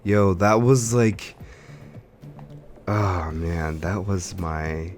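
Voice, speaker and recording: A man hosting a podcast, chatting casually about games in an animated, informal way with slangy phrasing. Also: low voice, extremely slow, soft background music. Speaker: podcast host. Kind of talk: casual gaming podcast chat.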